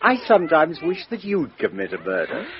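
A man's voice: fairly high pitch, wildly swooping pitch, a little fast, dull audio. Jolly english police inspector ribbing his friend, old radio hiss. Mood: jovial. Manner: bluff, teasing. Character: jovial, teasing police inspector. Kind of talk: 1950s radio drama.

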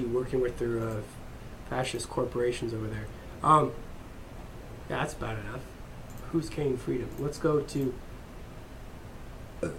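A man's voice: medium pitch, slight background noise, rough recording. A man vlogging in a heated, profane, rambling rant to his viewers. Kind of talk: unscripted rant to camera.

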